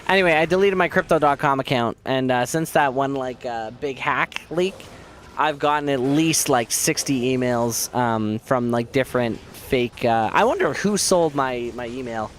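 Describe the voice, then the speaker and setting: A man streaming a game who chats casually and jokes at his own expense with viewers, hoarse from losing his voice. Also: mid-range voice, moderate pace, a little hiss or hum. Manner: rambling, self-mocking, joking with viewers. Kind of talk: casual chat while playing.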